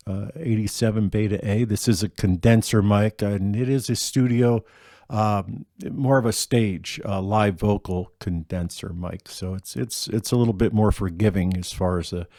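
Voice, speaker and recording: Deep-voiced man rambling about his gear. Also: measured pace, dry recording, studio quality. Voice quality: deeper voice. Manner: relaxed, rambling with many ums and restarts. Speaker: gigging acoustic musician. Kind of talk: casual gear rundown.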